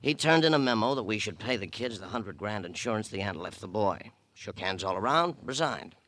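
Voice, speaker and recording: A wisecracking insurance boss in an old radio crime play. The voice is lowish but bright and forceful. He grumbles and wheedles, always put-upon and full of himself. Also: animated, a little fast, a little hiss or hum. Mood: wry, knowing. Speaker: actor in a radio crime drama. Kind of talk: 1950 radio character acting.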